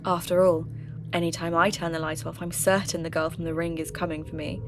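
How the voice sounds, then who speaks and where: A woman playing a sceptical, intrigued local radio host who investigates ghost stories in measured, scripted podcast narration. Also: lowish voice, little pitch movement, noisy background, lightly acted. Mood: sceptical, reflective. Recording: music bed under voice.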